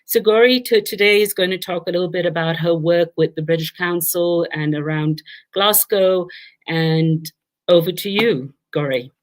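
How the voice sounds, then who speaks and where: A woman moderator introducing a speaker at an online event, in a halting way with repeated words. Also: on the low side.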